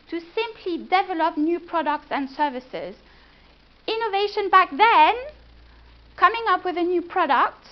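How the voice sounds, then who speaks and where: A woman with a French accent, a business consultant giving a live presentation and chatting with the audience. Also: extremely high pitch. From France. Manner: chatty, engaging, asks the room questions. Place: event room before a live audience. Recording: dull lo-fi event recording.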